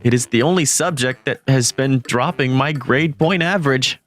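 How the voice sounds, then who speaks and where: A man's voice: medium pitch, normal speed, a bit bright, lightly acted. A man voicing a gloomy, introverted, easily panicked teenage schoolboy who narrates a manga story in a self-deprecating, dramatic way. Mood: lovestruck, glum. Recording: music bed under the voice. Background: music.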